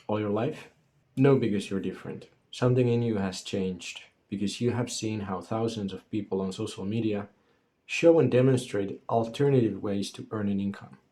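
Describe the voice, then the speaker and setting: A man's voice: lowish voice, mellow. A man, earnestly narrating a scripted motivational story as a solopreneur creator. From Finland.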